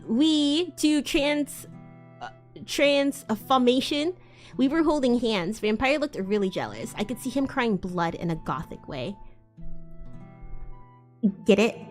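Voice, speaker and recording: Female livestream host, dramatic fanfic narration, higher register, leisurely delivery, soft music bed. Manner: theatrical, expressive. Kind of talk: live dramatic reading of fan fiction.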